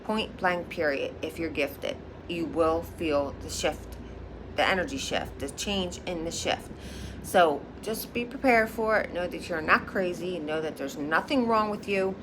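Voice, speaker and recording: A woman from Philadelphia with a Philadelphia accent, talking to camera in a casual vlog as a high priestess, emphatic and repeating her points with a frequent "okay?". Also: a little slow, noisy background. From Philadelphia, United States.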